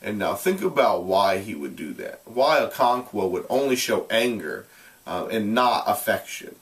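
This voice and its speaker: A man reading aloud like a teacher, in an expressive narrating style with deliberate, explanatory delivery. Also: lowish voice, distant mic.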